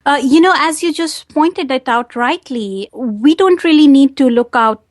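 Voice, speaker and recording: A woman's voice: high-pitched, dry recording, studio quality. Earnest Indian-accented woman guest. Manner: earnest, measured, hesitant fillers. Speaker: guest, wellness coach. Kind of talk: interview answers.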